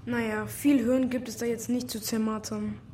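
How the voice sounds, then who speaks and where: Children's radio drama: actress as slangy, teasing kid, relaxed soft-edged voice, faint noise behind. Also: female voice, fairly high pitch, normal speed, natural voice. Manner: casual, slangy. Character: cool, slangy, teasing kid in a friend group.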